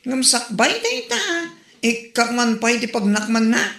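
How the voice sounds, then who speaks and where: A woman's voice: on the high side, big pitch swings, unhurried, gravelly, a bit bright, roomy sound, exaggerated voice. A woman with an Ilocano accent, playing a gossipy neighbor who exclaims and teases dramatically. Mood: animated.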